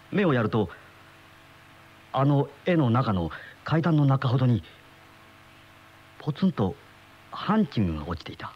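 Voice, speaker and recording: An actor playing an uneasy middle-aged husband in a radio mystery, with brooding, dramatic storytelling. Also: male voice, medium pitch, breathy edge. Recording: muffled old radio drama recording, music behind.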